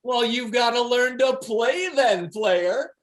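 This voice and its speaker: A man's voice: very high voice, slow pace, full character voice. A man playing a cocky, foul-mouthed slacker schemer in a comedy table read over a video call, talking in pushy, emphatic slang. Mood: cocky, urging. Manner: slangy, emphatic, swinging between hushed and pushy.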